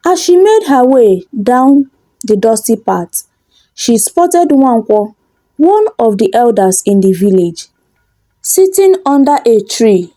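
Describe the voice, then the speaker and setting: A woman's voice: on the high side, big pitch swings, slow, mellow, no room sound, low-quality recording. A woman narrating a scripted folktale as a storyteller.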